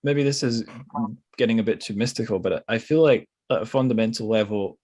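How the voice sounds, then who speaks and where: Male podcast host with a fairly low voice; thoughtful, halting, informal interviewing style that rambles a little. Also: darkish tone. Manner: hesitant, rambling, thinking aloud. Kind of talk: conversational literary interview.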